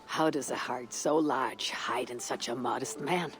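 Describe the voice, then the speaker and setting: A woman playing a grave, introspective Viking warrior in a video game, husky and breathy, speaking in solemn, deliberate phrases. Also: very deep voice. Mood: earnest, warm. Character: weathered female Viking warrior, grave, introspective, loyal leader. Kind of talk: dramatic video game acting. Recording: music behind the voice.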